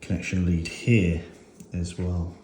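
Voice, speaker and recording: A man with a very low voice talks through a hands-on gear demonstration in a casual, unscripted way. He thinks aloud a little hesitantly. The recording is noticeably echoey, with faint noise underneath. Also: steady tone, slow, dark tone. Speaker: motorcycle gear reviewer and host. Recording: roomy echo with faint noise.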